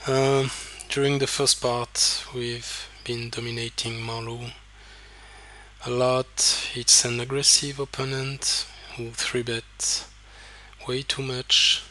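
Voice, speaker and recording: A man with a French accent, a native French speaker, coaching poker as he explains his hands over a recorded session. He talks in a halting way and repeats words while he thinks aloud. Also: mid-range voice, level tone, sharp tone.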